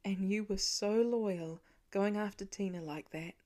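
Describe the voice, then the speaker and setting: A woman's voice: mid-range voice, unhurried, dark tone, lightly acted. A devoted, protective dad of young children, voiced by a woman narrating an audiobook. He talks in an earnest, parental way, full of care for his child. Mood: soothing. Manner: soothing, earnest parental talk. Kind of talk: audiobook character acting.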